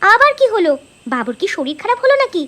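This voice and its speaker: A woman's voice: very high-pitched, very animated, fast, sharp tone, full character voice. A woman voicing an eager, deferential village wife and home cook in a cartoon story. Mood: fretful.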